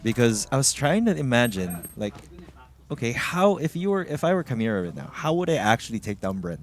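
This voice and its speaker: A man's voice: medium pitch, sing-song, a little fast. A man giving conversational analysis as an esports co-caster, casually thinking aloud. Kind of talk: live analytical commentary, conversational.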